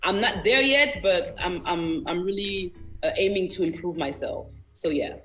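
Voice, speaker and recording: A man's voice: sits high, moderate pace, pushed and bright. A young man whose first language is French chats as a guest on a radio show. He speaks in an earnest, encouraging way, with music faint in the background. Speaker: independent singer-songwriter, interview guest. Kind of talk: casual radio interview talk.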